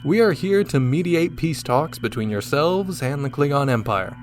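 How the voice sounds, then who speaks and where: A man's voice: on the high side, animated, normal speed, soft background music, natural voice. A man playing a confident, dashing starship captain, commanding and wry, in a sci-fi radio drama. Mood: brisk, official.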